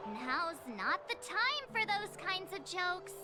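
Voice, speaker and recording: A woman's voice: extremely high pitch, very animated, slow pace, exaggerated voice. An actress voicing a perky, outspoken teenage girl companion in a video game, with a cute way of talking, over music. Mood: indignant. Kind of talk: scripted video game voice acting. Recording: very loud game music underneath.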